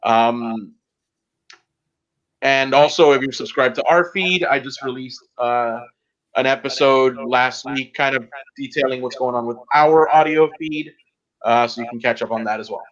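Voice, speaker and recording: A man hosting a casual podcast chat, talking in a rambling, halting, geeky way. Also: mid-range voice, fairly bright tone, dull audio. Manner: self-described geek, rambling and halting. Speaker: podcast host.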